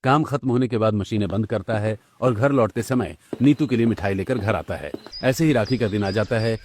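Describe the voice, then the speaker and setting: A man's voice: on the low side, a little fast, darkish tone, a little hiss or hum. A man delivering scripted narration for a cartoon story.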